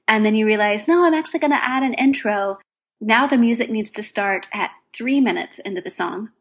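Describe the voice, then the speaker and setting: A woman's voice: medium pitch, slightly rough. A woman who calls in as a listener, giving a casual how-to explanation. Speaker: listener calling in with a tip.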